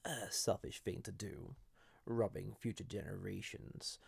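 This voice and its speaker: A man voicing an ancient banished villain entity in an intimate audio roleplay, soft-spoken and formal, with a gravelly, husky voice that often drops to a whisper. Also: lowish voice, fairly even intonation, no echo, exaggerated voice. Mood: awed, intrigued. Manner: slow, halting, gently condescending, formal. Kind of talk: intimate scripted audio roleplay addressed to listener.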